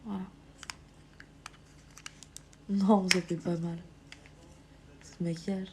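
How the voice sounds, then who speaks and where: A woman with a cold giving a live makeup tutorial on a phone stream, hesitant with many filler pauses, sometimes dropping to a whisper while she works. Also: on the low side, flat delivery, background noise. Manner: drops to a whisper while applying. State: has a cold. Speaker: makeup livestreamer.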